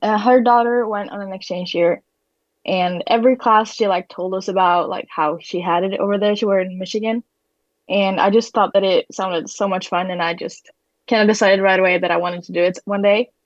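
A woman's voice: mid-range voice, fairly even intonation, a little fast, dry recording, lo-fi sound. Young Swedish woman, hesitant casual guest. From Sweden. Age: teens. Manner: hesitant, frequent "like". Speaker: podcast guest, exchange student. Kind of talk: casual chat.

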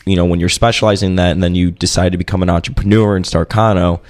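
A man's voice: low-pitched, steady tone, fast, a little mellow. A young man hosting an interview, asking questions and affirming often. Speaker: podcast host.

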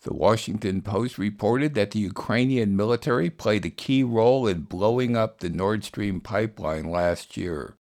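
Male radio host, low-pitched, scripted headline rundown. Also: normal speed, few pauses, darkish tone. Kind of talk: scripted news headline summary.

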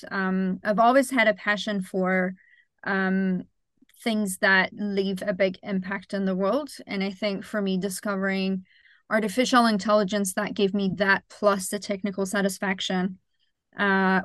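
A woman from Iran in her thirties, a startup founder answering interview questions thoughtfully, with frequent pauses and self-corrections. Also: medium pitch, fairly bright tone, dry recording.

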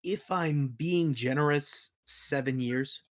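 A man's voice: a little high, slow pace. A man being interviewed as a podcast guest, talking informally with dry, self-deprecating humour. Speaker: podcast guest, game master and worldbuilder. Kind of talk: casual interview conversation.

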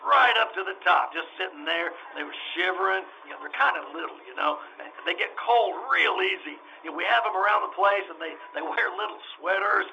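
A man's voice: sits high, fairly quick, creaky, hardly any pauses, sharp tone, lo-fi sound, full character voice. A man playing a flustered, folksy animal-show owner in old-time radio drama style, stammering and rambling as he repeats himself. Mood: earnest, chatty. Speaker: stage actor in radio troupe. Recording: faint noise, live radio re-enactment.

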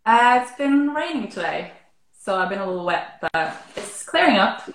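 A woman from Norway chatting casually as an interview guest, relaxed and full of "like" and "um", heard over a remote call. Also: mid-range voice, normal speed, echoey room, a little hiss or hum, rough recording. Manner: relaxed, frequent "like" and "um". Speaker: arborist guest.

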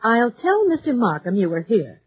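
Husky, guarded 1950s secretary. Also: female voice, on the high side, big pitch swings, normal speed, exaggerated voice. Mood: evasive. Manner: polite, hesitant, stonewalling. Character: guarded, evasive female office secretary. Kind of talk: 1950s American radio drama acting. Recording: dull, muddy 1950s radio recording.

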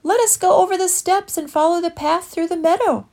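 A woman voicing an eager young male traveler in a storybook, speaking high and loud. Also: brisk, vocal fry.

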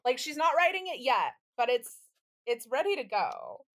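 Lively high-voiced woman podcaster. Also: moderate pace. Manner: playful, chatty, theatrical. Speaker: podcast co-host. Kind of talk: casual chat, dramatic poem reading.

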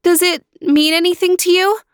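A woman voicing a young girl trapped by a spell, pleading with her brother in a high, urgent voice. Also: fairly even intonation, normal speed, no room sound, clean studio sound.

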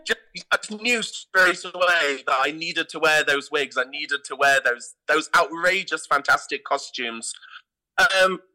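A middle-aged man with a very bright, forward voice pitched fairly high. He talks casually as a podcast guest, telling stories in an animated, stop-and-start way. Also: unhurried, rough recording. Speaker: theatre artistic director, podcast guest. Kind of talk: casual interview anecdotes.

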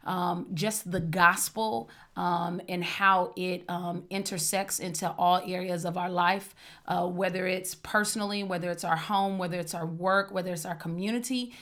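A woman from Oklahoma hosting a podcast, upbeat and expressive in casual chat. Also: lowish voice, moderate pace. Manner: upbeat, expressive, says "honey". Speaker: podcast host.

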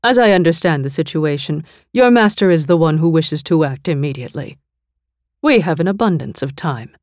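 A woman reading the lines of a calm, shrewd woman leader, bargaining in a cool, measured way. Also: sits low, no echo, studio quality. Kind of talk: audiobook character voice.

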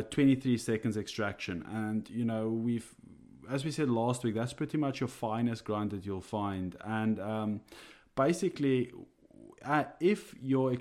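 A man whose first language is Afrikaans, speaking English with an Afrikaans accent, explains coffee on a podcast from his office over a remote call, halting and restarting with small chuckles. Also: medium pitch, mellow. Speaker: coffee roaster co-host.

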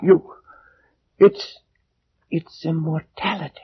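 An actor playing a frightened, superstitious ancient Egyptian assistant, agitated and pleading in 1940s radio drama style. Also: male voice, on the high side, steady tone, slow. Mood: horrified. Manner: agitated, theatrical. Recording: dull noisy 1940s radio recording.

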